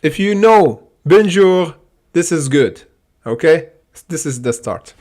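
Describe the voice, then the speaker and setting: Excitable man. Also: fairly high pitch, takes it slowly. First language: Arabic. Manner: excitable, repeats "okay?" and many fillers. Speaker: stock trading livestream host. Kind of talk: casual livestream chart commentary.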